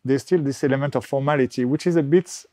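Man with french accent, podcast guest, halting, lots of fillers and restarts, mid-pitched voice. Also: darkish tone. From France. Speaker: guest, real estate agent. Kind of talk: conversational interview.

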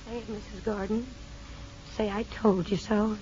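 An actress playing a distraught, pleading young wife and mother in 1950s American radio drama, speaking emotionally and haltingly. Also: medium pitch, level tone, dark tone, slight background noise, natural voice. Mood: despairing. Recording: dull old radio broadcast recording.

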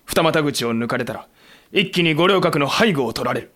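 A man's voice: on the high side, lively intonation, moderate pace, fairly bright tone. An actor playing a blunt, wry samurai commander who protects his men, in a period audio drama with terse, commanding delivery. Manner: terse, commanding, gruff phrasing.

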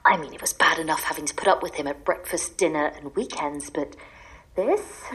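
Exasperated wife in an audio drama; bitter ranting, very expressive intonation, pleading edge. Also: female voice, on the low side, poor audio. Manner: ranting in long bitter lists, sometimes dropping to a secretive whisper. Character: exasperated, desperate wife sick of her husband, pleading to disappear.

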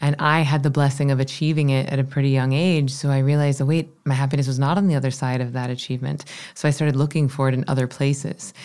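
A woman in her thirties from Florida, a meditation teacher with a low voice, telling her story in an animated, chatty way. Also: fast, hardly any pauses, clean tone. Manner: animated, chatty, self-deprecating. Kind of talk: casual interview storytelling.

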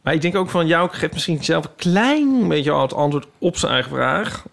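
A man with a Netherlandic Dutch accent hosting a podcast in casual, humorous banter, animated and playfully mock-indignant. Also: a little high, normal speed, vocal fry. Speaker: podcast host.

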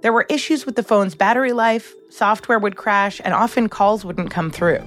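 A woman's voice: mid-range voice, moderate pace, faint music behind. A woman hosting a documentary podcast, reading scripted narration in a polished storytelling format.

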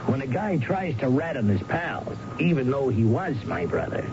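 A man's voice: mid-range voice, expressive, normal speed, noisy background, close to an everyday voice. Condescending male villain played by an actor, mid-range voice, cool menace, vintage radio mystery sound. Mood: cold, smug. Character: smug, condescending male crook, coolly menacing. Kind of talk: radio drama acting. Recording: dull vintage radio broadcast with music.

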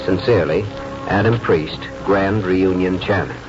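A man's voice: deep voice, measured pace. An actor playing a weary, self-doubting middle-aged country lawyer, a man in a 1940s radio drama. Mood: reflective reading. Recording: muffled 1940s radio broadcast, music.

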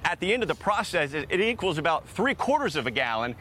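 A man's voice: a little high, big pitch swings, fast talker, sharp tone, slight background noise. A man reporting live on TV news in a conversational style.

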